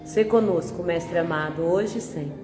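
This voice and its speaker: A Brazilian woman giving a gentle, conversational spiritist talk with a nasal, congested voice from a cold. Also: fairly low pitch, a little slow, music in the background. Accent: Brazilian Portuguese. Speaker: spiritist lecturer. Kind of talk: public talk with opening prayer. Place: large hall of a spiritist center. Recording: echoey hall with long reverb.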